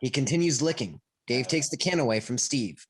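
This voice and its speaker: A man's voice: mid-range voice, animated, fairly quick. A man reading out stage directions as scripted narration for a play over an online call. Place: home, online video call. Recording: faint noise, clicks on online call.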